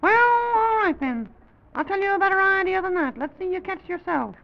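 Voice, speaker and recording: A man's voice: lively intonation, moderate pace, full character voice. A man voicing a fussy, flustered little toy-land president for a children's story show, rambling in folksy exclamations. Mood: exasperated. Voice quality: high, creaky. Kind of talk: old-time children's story show character acting. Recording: dull, lo-fi vintage broadcast recording.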